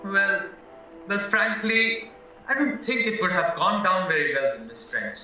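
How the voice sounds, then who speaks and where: Man acting a flustered, high-voiced young suspect, echoey. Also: measured pace, sharp tone, exaggerated voice. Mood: hesitant, flustered. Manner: hesitant, earnest, protesting. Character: frank, flustered young married man accused of murder. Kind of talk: stage-style courtroom audio drama acting. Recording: echoey, distant, dull, music behind.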